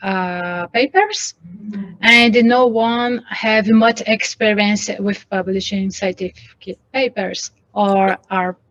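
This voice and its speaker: Halting woman on dull call audio. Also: medium pitch, fairly bright tone, no room sound. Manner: halting, searches for words. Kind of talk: video call remarks. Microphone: video call microphone.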